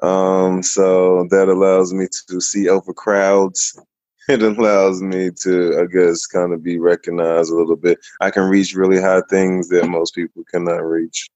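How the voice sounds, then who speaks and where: A very low-voiced man speaks as a podcast guest, unhurried and easygoing, often pausing to gather his thoughts. The sound is dry and a little muffled. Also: level tone, darkish tone, no echo. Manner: easygoing, measured, with frequent pauses. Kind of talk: casual interview answers.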